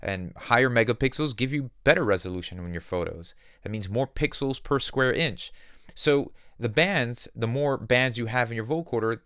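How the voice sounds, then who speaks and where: Male tutorial host, smooth lowish voice, plain explanatory delivery, very dry close recording. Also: fairly bright tone, no echo. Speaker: music production instructor. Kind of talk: conversational software tutorial.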